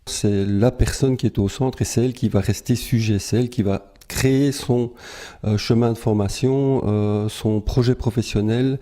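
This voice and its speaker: A man's voice: medium pitch, steady tone, normal speed, warm tone. A man speaking earnestly in an interview, with some fillers. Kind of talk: interview explanation.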